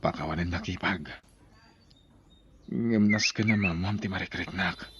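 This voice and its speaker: A man's voice: deep voice, fairly even intonation, unhurried, a bit bright, slightly rough, dull audio, heavily acted. An actor with an Ilocano Filipino accent playing a married man secretly in love with his sister-in-law in an audio drama. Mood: hesitant, confessing.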